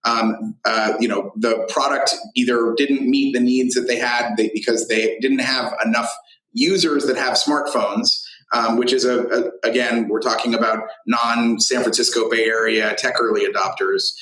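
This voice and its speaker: Male startup CEO, medium pitch, halting explanatory interview delivery with lots of fillers, audibly echoey room sound. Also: fairly even intonation, a bit bright. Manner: halting, filler-heavy, thinking aloud. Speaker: tech company founder and CEO being interviewed. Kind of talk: unscripted business interview answers.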